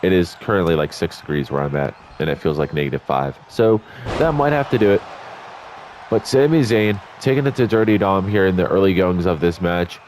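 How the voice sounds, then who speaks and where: A man's voice: sits low, a little mellow, slight background noise. A man doing casual, rambling play-by-play commentary over a wrestling video game, sounding congested and tired after just waking up. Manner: chatty, rambling asides, self-corrections. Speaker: YouTube gaming commentator. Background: faint game music.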